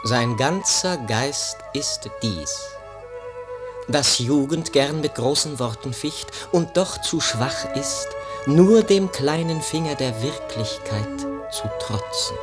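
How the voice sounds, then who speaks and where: An actor playing a solemn, poetic prologue speaker in a radio drama, declaiming in a measured, theatrical way. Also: male voice, medium pitch, lively intonation, over music. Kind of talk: recited verse prologue in German radio drama.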